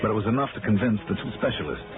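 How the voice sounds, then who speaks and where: An American actor playing a tough, brisk insurance investigator in 1960s radio noir, with clipped, businesslike delivery. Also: male voice, on the low side, close to an everyday voice. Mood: wry, clipped. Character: tough, brisk freelance male insurance investigator, hardboiled and wry. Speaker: lead actor and narrator. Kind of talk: 1960s American radio noir acting and first-person narration. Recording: vintage radio recording, narrow band, music behind.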